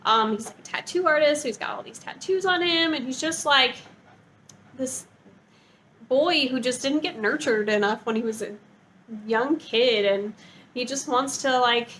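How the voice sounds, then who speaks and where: A woman's voice: high-pitched, moderate pace, a bit bright, a little hiss or hum. A woman giving a casual, chatty book review in a bubbly, gushing, informal way.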